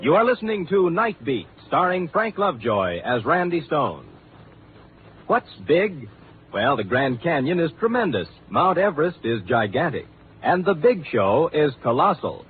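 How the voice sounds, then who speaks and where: An American man announces in vintage network radio style. His voice is fairly high with big, lively swings, and the broadcast sound is narrow and boxy. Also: unhurried, soft background music. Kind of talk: 1950s radio promo announcing.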